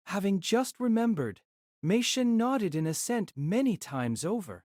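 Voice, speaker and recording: A woman's voice: mid-range voice, very animated, measured pace, a little mellow, no room sound, clean studio sound. A woman reading scripted narration of a light novel as the narrator of an audio drama.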